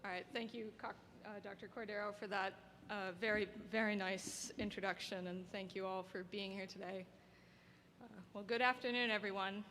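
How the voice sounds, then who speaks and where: A soft-spoken young woman giving an earnest, heartfelt graduation speech as an award recipient, at a podium microphone. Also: mid-range voice, fairly even intonation, moderate pace. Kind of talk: formal prepared speech. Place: ceremony hall. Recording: long hall reverb, faint background noise.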